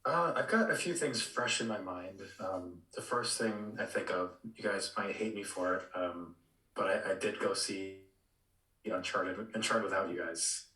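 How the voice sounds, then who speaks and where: A man chatting casually on a podcast, in a halting way full of fillers and restarts. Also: low-pitched, sharp tone, roomy sound, rough recording. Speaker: podcast panelist.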